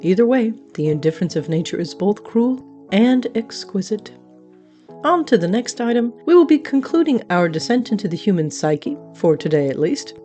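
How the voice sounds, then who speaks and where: A woman's voice: mid-range voice, big pitch swings, normal speed, dark tone, faint music behind, lightly acted. A woman playing a wry, grandiose otherworldly radio host, narrating an audio drama in an arch, florid, dramatic way. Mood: grandly announcing.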